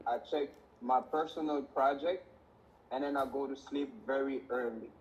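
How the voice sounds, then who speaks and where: A man's voice: mid-range voice, steady tone, moderate pace. A man answering an interview as a kitchen worker, laying things out in simple step-by-step sentences. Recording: dull lo-fi sound, faint noise.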